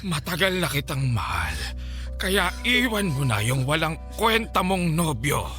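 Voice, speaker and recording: Leering obsessed landlord, wildly swinging voice. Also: male voice, on the high side, big pitch swings, a little slow, pushed and bright, faint music behind, full character voice. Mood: obsessed pleading. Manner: leering, threatening, overwrought. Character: obsessed, menacing married middle-aged male landlord, lecherous villain. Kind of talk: radio drama acting.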